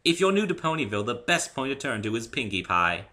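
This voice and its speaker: Man playing posh, gushing lady unicorn designer for a story reading: raised pitch, swooping, theatrical drawn-out emphasis. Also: big pitch swings, sharp tone. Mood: gracious. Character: refined, theatrical female unicorn fashion designer, gushing and gossipy.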